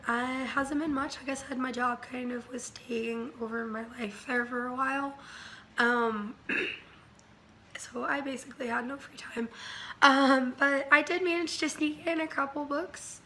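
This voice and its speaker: A woman with a bright, slightly high voice, still worn from a recent illness. She rambles through a casual book review, full of ums and likes and animated asides. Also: measured pace, slight background noise.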